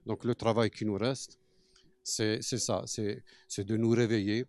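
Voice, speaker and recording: A man's voice: lowish voice, steady tone, measured pace, darkish tone, no room sound. A man speaking as cofounder of a cultural centre, giving an earnest testimony in a mosque and mixing Arabic phrases into his French.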